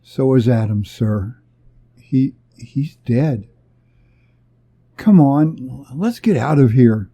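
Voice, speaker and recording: A man's voice: mid-range voice, expressive, takes it slowly, pauses often, dark tone, breathy edge, heavily acted. A man voicing an anxious, hesitant spaceship crewman who stammers in an adventure story. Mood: stunned, grim.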